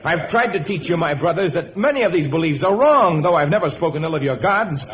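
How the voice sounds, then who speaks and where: An actor playing a noble, commanding jungle hero with a formal, declamatory delivery in an old radio adventure. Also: male voice, a little high, lively intonation, brisk, fairly bright tone. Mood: commanding, indignant. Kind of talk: 1950s-style radio adventure acting. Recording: muffled old radio recording.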